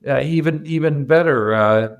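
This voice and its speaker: A man's voice: fairly high pitch, normal speed, clean tone, muffled recording. A man hosting a podcast, asking long probing questions with hesitations and restarts. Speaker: podcast host. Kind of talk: interviewer questions.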